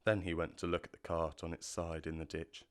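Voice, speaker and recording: A man giving scripted storybook narration in a measured, literary way, as narrator of an audio drama. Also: low-pitched, little pitch movement.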